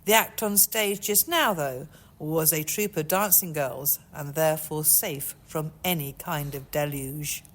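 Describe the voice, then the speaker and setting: A woman's voice: low voice, fairly even intonation, measured pace, hardly any pauses. A woman author reading her own novel aloud in a scripted storybook narration style. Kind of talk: storybook narration and casual chat. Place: chilly old music hall auditorium.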